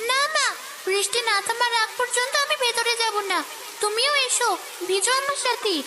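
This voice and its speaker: A woman's voice: extremely high pitch, normal speed, noisy background, pitched above the performer's own voice. A woman voicing a playful, stubborn little child in a children's cartoon. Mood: playful defiance. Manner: playful, defiant. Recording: music behind the voice.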